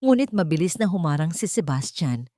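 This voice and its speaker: Female story narrator, Filipino accent, low-pitched, dramatic scripted read, very dry recording. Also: big pitch swings, brisk, dark tone, no room sound.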